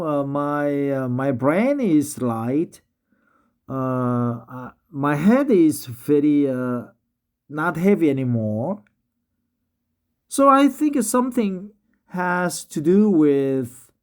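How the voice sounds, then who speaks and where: A man with a Korean accent, a native Korean speaker practicing English in a casual vlog, slightly tipsy and halting, full of hesitation fillers. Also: a little high. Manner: halting, many drawn-out fillers. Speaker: English learner vlogging. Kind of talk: casual talk and reading aloud.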